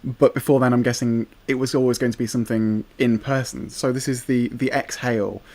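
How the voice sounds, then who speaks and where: A male podcast host leads into his questions with an easygoing manner. His voice sits at a middling pitch, and he is heard over a video call. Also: fairly even intonation, a little fast, a little mellow. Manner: easygoing, leading questions with ums. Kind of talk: podcast interview.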